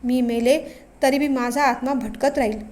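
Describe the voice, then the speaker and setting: Woman as pleading village mother, emotional, high. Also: fairly even intonation, moderate pace, a little mellow, close to an everyday voice.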